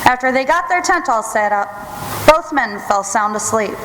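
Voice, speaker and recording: In a reverberant hall with clear background noise, a woman with a high voice hosts a live show. She delivers scripted jokes and introductions as a stage announcer. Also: lively intonation, moderate pace, a bit bright. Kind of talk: scripted joke-telling and announcing. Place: theatre stage before an audience.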